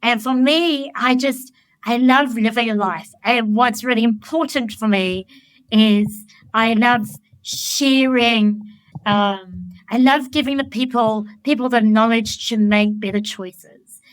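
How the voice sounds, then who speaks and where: A woman's voice: on the high side, slow pace, dry recording, low-quality recording. A woman life coach talking in a casual interview, candid and blunt, swearing freely, and telling her stories with enthusiasm. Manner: enthusiastic, animated storytelling. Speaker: life coach, podcast guest.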